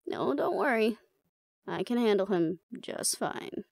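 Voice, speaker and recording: A woman's voice: mid-range voice, lively intonation, a little slow, vocal fry, a little mellow, no echo, clean studio sound. A woman playing a confident, teasing monster hunter in an audio roleplay, with a wry, coaxing manner. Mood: wry, affectionate. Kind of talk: intimate scripted audio drama acting.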